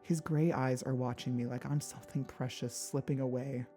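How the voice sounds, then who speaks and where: A woman narrating first-person fiction as a dramatic, expressive audiobook reader. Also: rumbling low, moderate pace. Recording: background music behind the voice.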